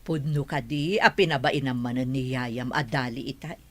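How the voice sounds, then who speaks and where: A woman's voice: extremely low pitch, moderate pace, fairly bright tone. An actress with an Ilocano accent playing a stern mother who lectures her daughter firmly. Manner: firm, lecturing. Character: stern scolding middle-aged mother.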